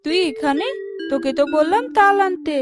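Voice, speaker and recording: A woman playing a cruel, scheming stepmother in a children's cartoon, with a sharp, domineering manner. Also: high-pitched, normal speed, music in the background, natural voice. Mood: scolding.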